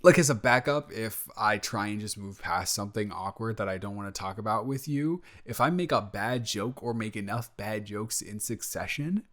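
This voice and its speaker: A man's voice: on the low side, moderate pace, hardly any pauses, fairly bright tone, close to an everyday voice. Man playing a flustered, rambling, joking male roommate. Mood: playful, teasing. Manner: rambling, stammering, self-interrupting, playful. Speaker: audio roleplay performer. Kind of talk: improvised boyfriend roleplay addressed to the listener.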